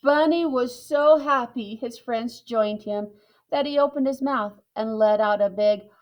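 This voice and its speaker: Female storybook narrator, unhurried, slightly high-pitched. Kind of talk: children's storybook reading.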